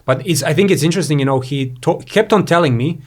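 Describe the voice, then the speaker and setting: A man's voice: fairly high pitch, fast. Rambling man from Croatia, says "you know". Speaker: podcast guest, entrepreneur. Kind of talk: casual interview chat.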